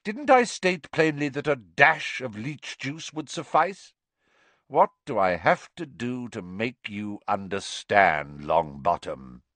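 Storybook villainous teacher, played by a male narrator: icy, cutting, commanding, swooping pitch, dry close sound. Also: big pitch swings, slow pace, a bit bright, no echo. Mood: icy scorn. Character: cold, sneering, menacing male schoolteacher.

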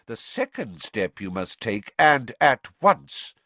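A man voicing a calm, powerful elderly male wizard who speaks in a cool, measured, firm way, in a storybook reading. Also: mid-range voice, no room sound, exaggerated voice.

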